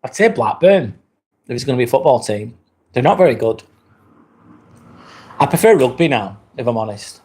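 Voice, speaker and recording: A man in his forties with a Lancashire accent, hosting a casual live stream, chatting in a relaxed, rambling way with plenty of ums. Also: mid-range voice, normal speed, pauses often. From northwest England. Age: 40s. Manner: relaxed, rambling, reads chat comments aloud with many fillers. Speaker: livestream host.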